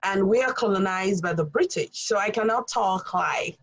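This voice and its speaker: A woman from Nigeria speaks with a Nigerian accent, pitched in the middle. She is a college English instructor sharing experiences on a podcast panel, earnest and full of "um" and "you know". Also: moderate pace, rough recording. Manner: storytelling, earnest, many fillers. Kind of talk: roundtable podcast discussion.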